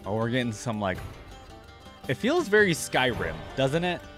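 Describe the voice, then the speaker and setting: A man's voice: mid-range voice, big pitch swings, moderate pace. Casual man reacting over background music. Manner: informal, thinking aloud in short remarks. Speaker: reaction video host.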